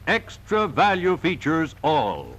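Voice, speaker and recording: Animated, high-pitched 1940s promotional film announcer, male. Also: slow pace. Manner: emphatic, polished salesman delivery. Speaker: narrator of a car sales training film. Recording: vintage 1940 film soundtrack.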